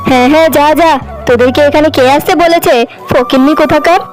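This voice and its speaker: A woman's voice: sits high, fast talker, natural voice, rough recording. A woman voicing a haughty, snobbish neighbor woman in a cartoon who hurls insults. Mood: contemptuous. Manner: haughty, contemptuous, shouting insults. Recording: faint background music.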